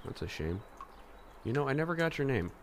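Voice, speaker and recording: A man playing a rich high school boy in an audio drama, earnest and questioning in his manner. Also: sing-song, a little fast, a little hiss or hum, natural voice. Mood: gentle, concerned. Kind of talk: amateur audio drama acting.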